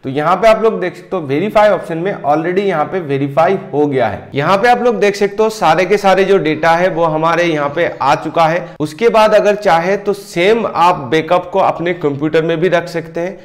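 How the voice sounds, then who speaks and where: Man explaining software steps, echoey room. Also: a little high, moderate pace, few pauses. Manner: steady step-by-step explaining, addresses viewers directly. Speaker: tech tutorial host. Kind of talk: software walkthrough tutorial. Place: indoor room, screen-recording overlay.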